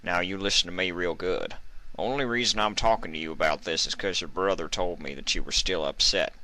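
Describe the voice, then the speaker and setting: A man voicing an old stallion sheriff in a storybook reading, quiet and seasoned, folksy, stern but tender. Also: low-pitched, fairly quick, dry recording. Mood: controlled anger. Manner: folksy, controlled, comforting. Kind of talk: storybook character voice in fan fiction reading.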